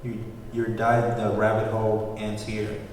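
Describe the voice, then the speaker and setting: A man's voice: lowish voice, completely flat delivery, measured pace, a little mellow, close to an everyday voice. Casting: man as flamboyant thirties cult boss, cool menace, table-read delivery, distant and very reverberant sound. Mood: cold, dismissive. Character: flamboyant muscular cult leader in his thirties, coolly menacing. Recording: very echoey, distant, noisy room recording.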